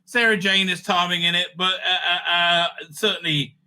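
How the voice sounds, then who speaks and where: A man with a strikingly bright, forceful voice, pitched a bit high, hosts a loose, rambling review. He is blunt and opinionated, swears freely and repeats phrases to hammer his verdicts home. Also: level tone, normal speed, clean tone. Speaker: host of a fan review show. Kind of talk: casual rambling review and ranking commentary.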